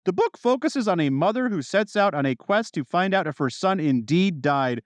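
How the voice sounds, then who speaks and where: Podcast host guy, kinda high voice, super animated, casual chat, dry close sound. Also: moderate pace, dry recording.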